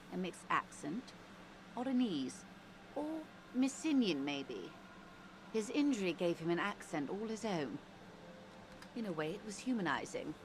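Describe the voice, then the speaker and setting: Composed negotiator, low pitch, measured, precise. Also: female voice, background noise.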